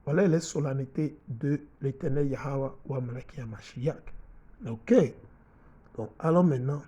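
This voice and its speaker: A man's voice: medium pitch, measured pace, warm tone, husky, lo-fi sound. A middle-aged man preaching and teaching from the Bible in an emphatic way, often repeating himself and asking his listeners if they follow.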